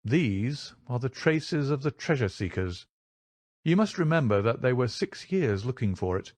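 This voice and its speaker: Storybook detective, acted by a man: crisp, firm, assured, explanatory, dry sound. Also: mid-range voice, lively intonation, measured pace, darkish tone, dry recording. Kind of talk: audiobook character voice.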